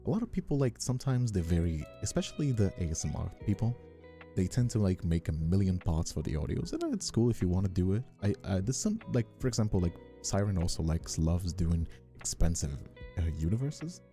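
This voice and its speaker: A man with a low, very mellow voice hosts a casual livestream. He is soft-spoken and hesitant, rambling gently with a gravelly creak. Quiet music plays behind him. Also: lively intonation, fairly quick. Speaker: streamer and ASMR voice actor hosting a live stream. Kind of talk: casual livestream chat with ASMR calm. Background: soft instrumental music.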